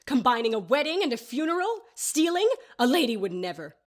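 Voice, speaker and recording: A woman's voice: high-pitched, very animated, brisk. A woman playing a haughty, easily offended older lady in an audio drama, formal and scolding in manner. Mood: indignant.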